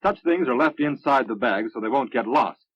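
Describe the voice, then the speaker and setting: An American man reading scripted narration for a military instructional film in a measured, instructive manner. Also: medium pitch, lively intonation, breathy edge, no echo. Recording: muffled, dry vintage film soundtrack.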